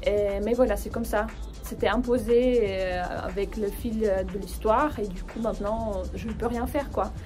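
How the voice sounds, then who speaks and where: A woman's voice: medium pitch, fairly even intonation, normal speed, hardly any pauses, darkish tone. Woman chatting outdoors over music. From Ukraine. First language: Russian. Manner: hesitant, many fillers and restarts, friendly. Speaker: French teacher and video creator. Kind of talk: casual personal chat to camera. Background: background music.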